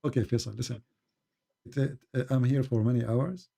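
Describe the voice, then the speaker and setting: A man's voice: medium pitch, steady tone, moderate pace, gravelly, mellow. A man whose first language is Arabic, hosting a heated livestream debate, insistent and repetitive, talking over his caller. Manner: insistent, repeats questions, talks over caller.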